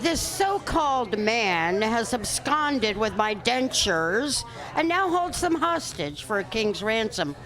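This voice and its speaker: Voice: medium pitch, sing-song, takes it slowly, few pauses, a bit bright, full character voice, low-quality recording. A performer playing a prim, haughty old lady in an amateur comic radio play, speaking in formal, wordy phrases. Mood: indignant. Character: prim old lady seeking her stolen dentures.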